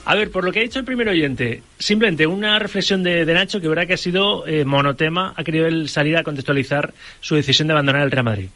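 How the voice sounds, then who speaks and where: A man's voice: a little high, bright, forceful tone. A man hosting a sports radio talk show, keeping the panel in order and bringing in listeners. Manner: brisk, keeps order, quick asides.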